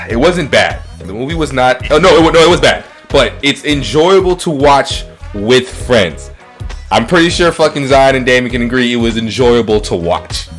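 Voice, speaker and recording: Animated, foul-mouthed man on a talk show. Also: mid-range voice. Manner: rambling, repeats phrases, swears heavily. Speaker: podcast co-host. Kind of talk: casual, profane chat about movies.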